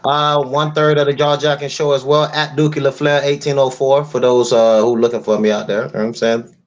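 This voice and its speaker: A man's voice: medium pitch, little pitch movement, fairly quick. A man casually introducing himself as a podcast guest. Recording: dull lo-fi audio.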